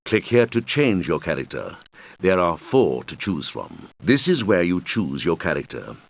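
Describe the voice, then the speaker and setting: A suave, showy male island boss from a racing video game. His voice sits low and moves up and down with lively, animated intonation. He guides the player in a deliberate, theatrical way, like a scripted tutorial host. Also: vocal fry, full character voice. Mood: helpful, instructive.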